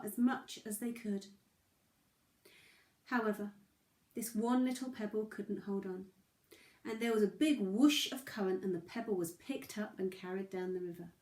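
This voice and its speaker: A woman reading a children's story as a storyteller, gentle and expressive, with drawn-out phrasing. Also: a little high. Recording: roomy, echoey sound.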